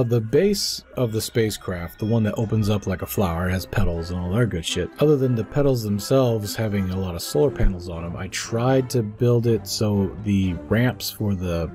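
Slightly hoarse, low-voiced man rambling. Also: dark tone. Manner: rambling, self-correcting, with frequent restarts and fillers. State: slightly hoarse from a coming cold. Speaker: gaming channel host. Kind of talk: casual gameplay narration. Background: faint in-game music.

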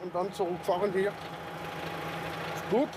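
A man's voice: sits high, steady tone, takes it slowly, over music. A site worker, a man, giving short reassuring instructions outdoors at a building site, heard from a distance.

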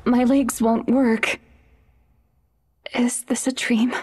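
A woman's voice: on the high side, flat delivery, takes it slowly, darkish tone, slight background noise. A woman playing an anxious, curious teenage girl in an audio drama, conversational and questioning. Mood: frightened confusion. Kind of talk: naturalistic audio drama acting.

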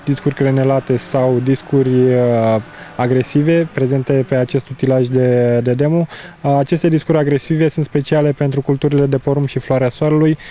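Man, 30s, product specialist interviewed outdoors. Soft, dark tone, technical delivery with halting pauses. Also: medium pitch, a little hiss or hum. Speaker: agricultural machinery product specialist. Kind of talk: explaining equipment in an interview. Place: outdoors in an open field. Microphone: handheld interview microphone.

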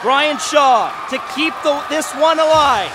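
A man calling a hockey shootout in a very high, strained voice, yelling every goal as he loses his voice. A crowd in the arena is heard clearly behind him, over a steady hum of noise. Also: slow pace. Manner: builds to shouted goal calls. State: losing his voice. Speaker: play-by-play commentator. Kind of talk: excited sports commentary.

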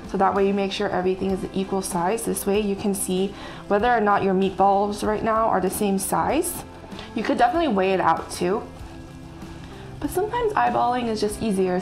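A young woman chef casually explaining a recipe step by step while she cooks in a bright home kitchen. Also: mid-range voice, gravelly. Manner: friendly step-by-step instructions while working. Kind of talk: casual cooking demonstration. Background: background music and sizzling food.

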